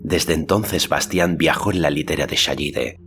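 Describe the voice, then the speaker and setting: A man's voice: a bass voice, unhurried, fairly bright tone, faint music behind. A man reading a fantasy novel aloud as scripted literary narration. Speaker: audiobook narrator.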